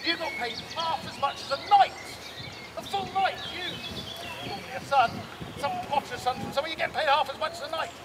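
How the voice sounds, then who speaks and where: A man's voice: very high voice, a little fast, noisy background. Man calling archery drills outdoors. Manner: loud commanding drill calls, teasing the audience. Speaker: archery display presenter. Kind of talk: outdoor demonstration to a crowd. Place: open field at a medieval fair. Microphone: far camera, no mic.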